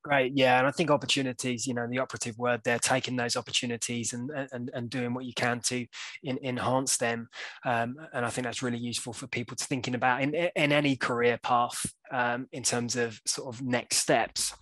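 A man's voice: mid-range voice, little pitch movement, a little fast, fairly bright tone, no room sound. A man from Wales with an English accent, hosting a webinar interview and asking earnest, wordy questions. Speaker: webinar host. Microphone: video call.

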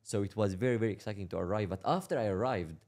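A young man from Syria telling his life story in a casual interview, speaking in a halting way, repeating words and laughing as he talks. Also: lowish voice, a little mellow. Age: young adult. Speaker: interview guest.

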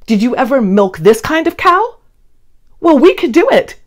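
A woman, around her thirties, talking and reading to young children in an expressive, emphatic way. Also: high voice, normal speed. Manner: animated, emphatic, addressing children directly. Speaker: children's read-along host. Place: indoor room, seated before a plain blue wall.

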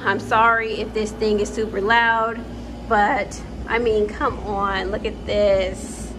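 A woman's voice: a little high, noisy background. A young woman casually chatting as she walks through an empty house, with frequent ums, filmed on a phone. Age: young adult. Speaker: home vlogger. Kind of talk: casual house tour vlog. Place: empty house, walking room to room. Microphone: phone camera held while walking.

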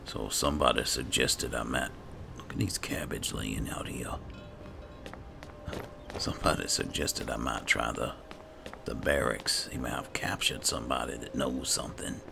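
Very deep, gravelly gamer man. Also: steady tone, moderate pace. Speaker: gamer roleplaying a character in a playthrough. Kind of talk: in-character roleplay narration, unhurried and casual. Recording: background music under the voice. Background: game music.

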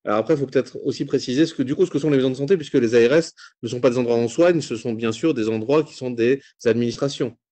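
A man's voice: mid-range voice, fast, few pauses, warm tone. French man moderating a webinar. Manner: hesitant, filler-heavy questions. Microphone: video call.

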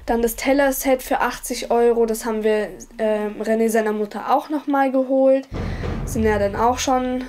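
A woman's voice: fairly high pitch, steady tone, normal speed, darkish tone, a little hiss or hum. An eighteen-year-old woman, talking casually and a little hesitantly in a documentary interview. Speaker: documentary participant.

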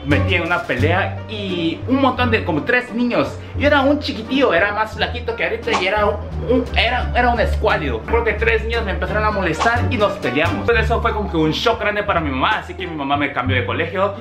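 Fast young man, Guatemalan accent, roomy sound. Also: high-pitched, animated. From Guatemala, of Korean parents. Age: young adult. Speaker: vlogger. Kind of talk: casual storytelling to camera. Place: small room indoors. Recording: roomy indoor echo. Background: music.

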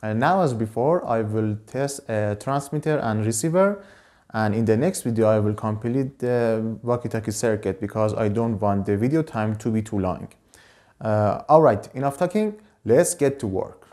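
A man's voice: lowish voice, normal speed, mellow. A man in his twenties narrating an electronics tutorial as the presenter of his own videos. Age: 20s.

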